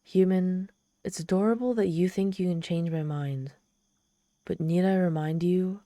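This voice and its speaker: Ancient demon woman, played by a woman for ASMR audio drama: lowish, velvety dark voice, proud and theatrical with a vulnerable streak. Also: unhurried, no room sound, clean studio sound, lightly acted. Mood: proud, conceding. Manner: haughty, theatrical, softening into shy vulnerability. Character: ancient proud tsundere demon woman, lonely, haughty, teasing. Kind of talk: ASMR audio roleplay, addressing the listener.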